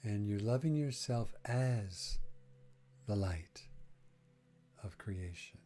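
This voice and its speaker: A man leading a guided breathing meditation, speaking gently in short phrases with long, unhurried pauses. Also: fairly low pitch, animated, soft background music.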